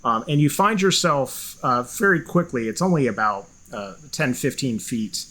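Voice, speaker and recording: A man narrating a tabletop game as game master, halting and filled with restarts. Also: mid-range voice, lively intonation, pushed and bright, poor audio.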